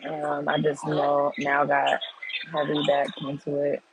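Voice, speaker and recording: A woman's voice: deep voice, completely flat delivery, measured pace, noisy background. A woman in her thirties from Brooklyn with a New York accent, chatting casually with her livestream viewers in a slangy, laughing way. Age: 30s. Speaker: livestream host.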